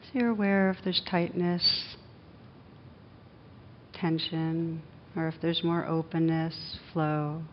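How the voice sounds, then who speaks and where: A woman leading a guided meditation as a teacher, speaking gently and soothingly, with long unhurried pauses. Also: steady tone. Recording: dull muffled recording with faint rumbling noise.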